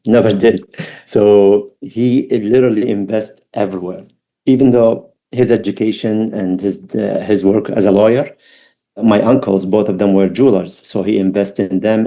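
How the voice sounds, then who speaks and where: Mellow middle-aged man with an Iraqi accent. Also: on the low side, fairly even intonation. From Baghdad, Iraq. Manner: halting, reflective, non-native English phrasing. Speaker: podcast guest. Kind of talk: personal storytelling interview.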